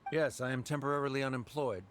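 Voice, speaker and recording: A man's voice: mid-range voice, fast, a bit bright, lightly acted. An actor playing a proud, awkward middle-aged scholar and father in a video game, with a formal, stilted, reserved way of speaking.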